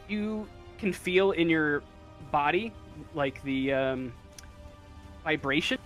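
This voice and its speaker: A man narrating a tabletop game as game master in a casual, halting, improvised way. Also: fairly high pitch. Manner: halting, hesitant, casual delivery.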